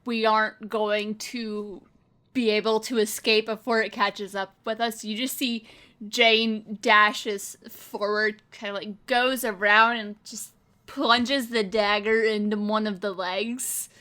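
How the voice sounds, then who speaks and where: Female game player narrating scenes casually, measured pace, clear forward brightness. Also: medium pitch, flat delivery.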